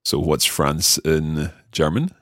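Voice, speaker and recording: A man with a very low voice and an unhurried pace, working through phrases carefully as the learner in a lesson. The sound is dry and close. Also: no echo. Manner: careful, tries phrases out slowly. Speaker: learner co-host. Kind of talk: language lesson dialogue.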